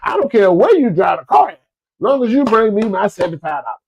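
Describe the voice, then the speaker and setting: A man's voice: sits high, very animated, fast talker, vocal fry, darkish tone. A man, stuffed up from a cold, giving folksy business advice to his listeners in a casual, repetitive way. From Florida. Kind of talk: casual motivational talk to listeners.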